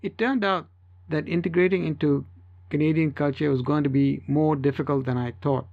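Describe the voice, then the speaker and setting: Male story narrator, first person, fairly high voice, slightly dull recording. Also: fairly even intonation, moderate pace, creaky. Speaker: audiobook narrator.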